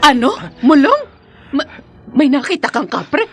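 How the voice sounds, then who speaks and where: A woman playing a worried, protective mother of a grown son in a horror radio drama, anxious and halting, with a Filipino accent. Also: high-pitched, sing-song, over music. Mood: alarmed.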